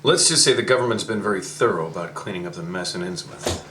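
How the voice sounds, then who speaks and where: Low, quietly menacing government man. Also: expressive, quick pace. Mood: quiet menace. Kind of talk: 1930s radio play acting. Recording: roomy with clear background noise.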